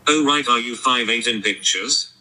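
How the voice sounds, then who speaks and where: A man's voice: medium pitch, moderate pace, pushed and bright, rough recording. A synthetic, robotic male voice confronting someone on a call with blunt, clipped, insistent sentences.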